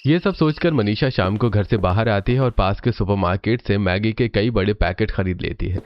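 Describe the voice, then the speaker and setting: Male storybook narrator for cartoons, lower register, slightly dull studio sound. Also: moderate pace, dry recording.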